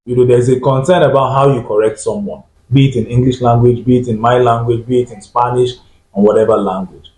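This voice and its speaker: A man speaking as a guest on a panel discussion, giving his view in a measured way and sometimes restarting a phrase. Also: medium pitch, fairly even intonation, a little mellow. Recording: echoey room.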